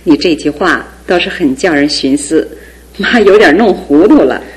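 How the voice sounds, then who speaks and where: A middle-aged mother in a radio play, doting and anxious over her son. Her voice runs a little low, tender and fussing, with gentle scolding. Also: expressive, moderate pace, low-quality recording. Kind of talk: radio drama acting.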